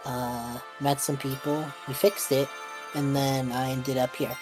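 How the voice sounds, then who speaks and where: Young woman playing college-age male stowaway, tabletop roleplay. Very low-pitched, rambling, apologetic, music behind. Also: flat delivery, a little slow, low-quality recording. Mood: sheepish, explanatory. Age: young adult.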